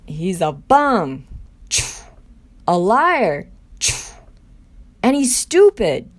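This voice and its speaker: A woman's voice: medium pitch, big pitch swings, drawn-out words, voice pushed up, exaggerated voice. A woman reading the part of a bitter, accusing sixteen-year-old boy in a scripted scene. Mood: angry, forceful. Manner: accusing, insistent. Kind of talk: scripted scene table read.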